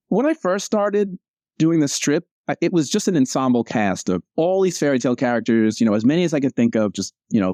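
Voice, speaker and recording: Male interview guest, casual and rambling, self-correcting, with a warm, relaxed tone and dry sound. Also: mid-range voice, dry recording. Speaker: comic strip cartoonist, interview guest.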